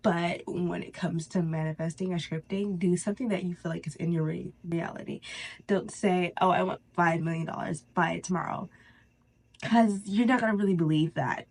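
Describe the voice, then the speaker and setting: Congested woman chatting casually, lowish voice. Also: fairly even intonation, a little mellow. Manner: informal, halting with pauses for breath. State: congested, stuffy nose. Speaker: host of a spirituality channel. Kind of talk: casual chatty tutorial on manifesting.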